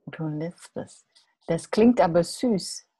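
A woman's voice: lowish voice, takes it slowly, mellow, husky. An older woman hosting a conversational interview over a video call, speaking into an earphone headset. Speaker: interviewer, host. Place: room indoors on a video call.

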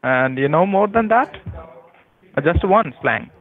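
Male caller, slightly high voice, casual and mock-affectionate, narrowband telephone sound. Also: lively intonation, a little slow, vocal fry. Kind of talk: casual phone chat. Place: phone call. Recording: tinny narrowband phone line.

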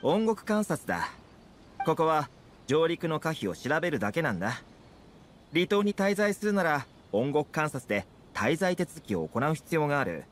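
A man's voice: on the high side, very animated, normal speed, slight background noise. A man voicing a friendly, easygoing young guide in an anime game, explaining things in a casual, affable way. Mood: helpful. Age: young adult.

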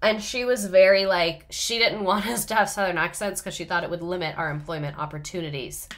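A woman's voice: on the low side, fairly even intonation, brisk, a bit bright. A woman chatting casually and rambling with lots of asides while she does crafts. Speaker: vlogger. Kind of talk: casual chat while crafting and watching TV clips.

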